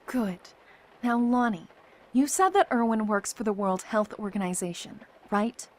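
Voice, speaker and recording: A woman's voice: medium pitch, lively intonation, unhurried, a little mellow, lightly acted. A woman playing a level-headed survivor in an apocalypse audio drama, firm and reasonable as she keeps the peace. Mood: reasoning. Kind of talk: fan audio drama acting.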